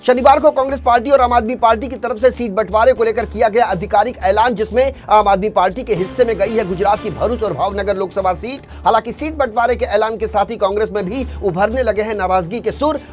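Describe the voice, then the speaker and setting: A man's voice: high voice, fast talker, few pauses, soft background music. A man reading a scripted news bulletin as an anchor, in a formal, emphatic headline delivery.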